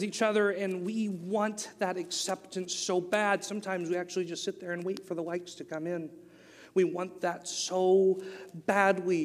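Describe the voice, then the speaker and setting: A young man with an Oklahoma accent speaks to a youth group, shifting from a loose, chatty story into emphatic preaching. His voice sits fairly high for a man. It rings with the long reverb of a hall. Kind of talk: youth sermon.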